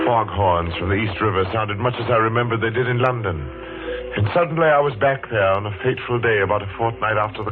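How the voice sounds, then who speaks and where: An actor playing a haunted, brooding man who narrates his dark family secret slowly and solemnly in an old radio suspense drama. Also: fairly low pitch, lightly acted. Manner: slow, solemn, dramatic delivery. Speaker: narrator and lead character. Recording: vintage radio recording, dull, music behind.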